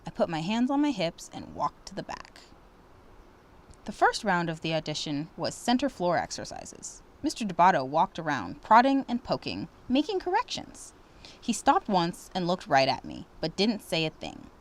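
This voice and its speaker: A woman reading a children's storybook aloud in an expressive, dramatic way. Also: lowish voice, moderate pace. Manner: animated, dramatic. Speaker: storybook reader.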